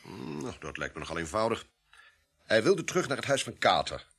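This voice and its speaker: An actor in a radio play voicing an imperious, impatient police inspector, curt and insistent, with a husky, sharp voice. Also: male voice, fairly low pitch, big pitch swings, brisk, full character voice. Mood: stubborn, certain.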